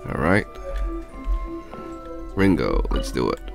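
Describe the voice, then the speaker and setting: A man's voice: a bass voice, very animated, creaky, music in the background. A man casually commenting on a video game as he plays, sounding sick and nasal with a stuffy cold. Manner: slow, chatty, talks to viewers while playing. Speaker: gaming YouTuber.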